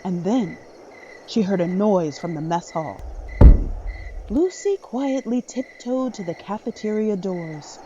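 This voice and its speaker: A woman's voice: mid-range voice, lively intonation, slow pace, lo-fi sound, noisy background. A woman reading scripted dramatic narration as the narrator of a story.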